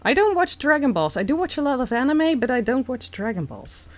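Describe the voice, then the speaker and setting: A young Dutch woman with a Dutch accent, chatting casually and haltingly while reading comments on a live stream. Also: sits high, sing-song. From Netherlands. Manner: slow, halting, many fillers.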